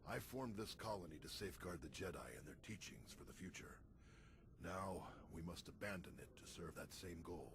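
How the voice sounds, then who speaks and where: An old Jedi master in a game cutscene, with a very deep, creaky voice. He speaks in a calm, courteous, scholarly way, over clear ambient noise. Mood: grave, measured. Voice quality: deep gravelly voice. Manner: measured, formal, courteous. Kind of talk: video game cutscene acting.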